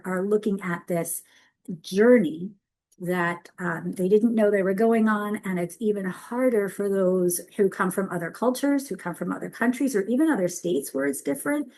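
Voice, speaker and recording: A woman's voice: fairly low pitch, darkish tone. A woman presenting on a video call webinar, talking in a chatty, upbeat way and correcting herself as she goes.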